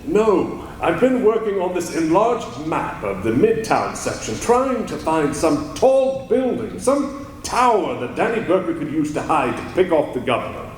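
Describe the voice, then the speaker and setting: A man playing a calm, commanding crime-fighter hero in a live old-time radio drama, crisp and dramatic. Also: a little high, a little slow, slight background noise. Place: large convention hall, live stage. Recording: echoey hall with long reverb.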